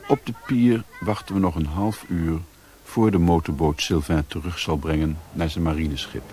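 An actor playing a reflective narrator in a radio drama, husky, measured, sombre and detached. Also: male voice, sits low, steady tone, a little mellow, natural voice. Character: reflective male narrator recounting life abroad.

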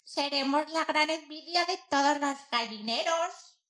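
A woman playing an excitable, vain and eager laying hen in a children's audio story. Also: high-pitched, a little slow, slight background noise. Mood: proud, eager.

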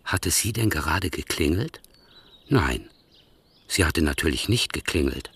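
A man narrating a radio play in a measured, wry storytelling manner. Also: a bass voice, animated, a bit bright, slightly rough.

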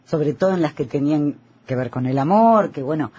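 A man's voice: on the high side, lively intonation, brisk, darkish tone, husky. A man with an Argentine accent hosting a conversational radio interview. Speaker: radio host.

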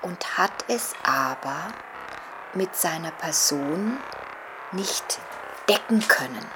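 A woman speaking as an expert, slow and hesitant, often pausing with fillers, in a breathy, half-whispered voice. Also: deep voice, animated, over music, rough recording. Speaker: expert interviewee. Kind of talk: thoughtful spoken commentary.

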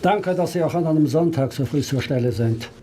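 An actor playing an authoritative, businesslike police inspector with a husky voice in a German radio play. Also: male voice, a little high, a little fast, natural voice. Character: brisk, commanding male police inspector.

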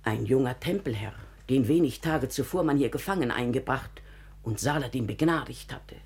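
An actress playing a devoted, excitable household companion in a classical German radio drama, with declamatory, emphatic storytelling. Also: female voice, rumbling low, normal speed, husky, heavily acted. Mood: earnest, excited.

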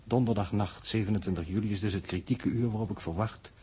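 A learned spaceship mathematician with a strongly husky, fairly low voice. He is coolly fatalistic and lectures in precise, formal language, in the manner of a 1960s radio play. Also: fast talker, warm tone. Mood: grave, resigned. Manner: pedantic, lecturing, formal wording. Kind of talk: 1960s Dutch radio drama acting.

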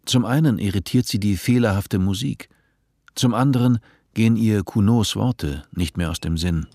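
A man narrating a dystopian radio play in a measured, detached, literary manner. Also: fairly low pitch, darkish tone, no room sound. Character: male narrator of a dystopian story.

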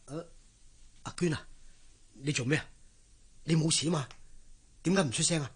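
An actor playing a devoted young sailor fiancé in a Cantonese radio drama, earnest and reassuring, with a Hong Kong accent. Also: male voice, a little high, moderate pace, slightly rough. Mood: concerned. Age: young adult.

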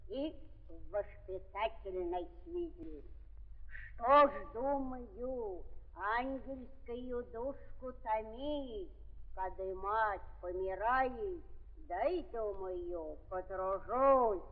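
Slow, garrulous old Russian peasant woman. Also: mid-range voice. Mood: plaintive, evasive. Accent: rural Russian. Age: older. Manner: folksy, lamenting, sing-song with repetitions. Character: garrulous, fawning old peasant aunt, sly and self-excusing. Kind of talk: 1930s Russian stage-style radio drama acting. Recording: muffled, reverberant 1930s archive recording.

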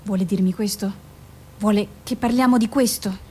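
Actress as a measured, firm woman doctor, faint noise. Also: medium pitch, darkish tone, natural voice. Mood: considerate. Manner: probing, controlled, formal questioning. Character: measured, firm female doctor assessing an accused patient. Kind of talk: naturalistic radio drama dialogue.

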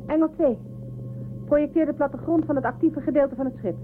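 Woman playing a high-voiced space crew member. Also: a little fast, background noise, close to an everyday voice. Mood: urgent. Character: lively, bold female space crew member. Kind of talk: science fiction audio drama acting. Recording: narrow lo-fi radio drama with music.

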